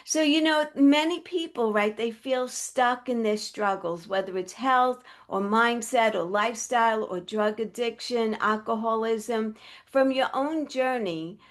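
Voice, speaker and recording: A woman's voice: fairly high pitch, measured pace. A woman hosting a podcast interview, encouraging her guest and asking open questions. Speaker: podcast host.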